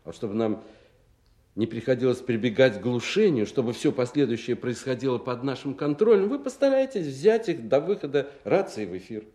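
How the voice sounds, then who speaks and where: An actor playing a brusque, authoritative Soviet colonel in a radio drama, speaking in a firm, clipped, insistent way. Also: male voice, medium pitch, big pitch swings, fairly quick, mellow, lightly acted. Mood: grave, firm.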